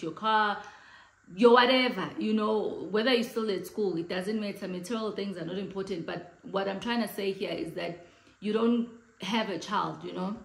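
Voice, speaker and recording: A woman's voice: mid-range voice, vocal fry, echoey room. Chatty South African woman vlogging. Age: late 30s. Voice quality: big voice. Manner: chatty, animated hand gestures, mixes in Xhosa phrases. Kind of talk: casual chat to camera. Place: living room, indoors, in front of a sofa.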